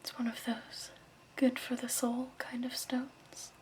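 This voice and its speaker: A woman playing a gentle, soothing crystal shop keeper in a hushed, patient ASMR roleplay whisper. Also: sits high, monotone, slow pace. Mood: gentle, explaining.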